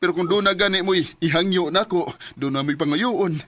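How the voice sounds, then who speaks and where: A man's voice: high-pitched, a little fast, a bit bright, no echo. A man with a Cebuano accent acting in a Bisaya radio drama, playing the family's giver and narrating his story with emotional, pleading outbursts. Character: young man, family's giver, hurt and resentful.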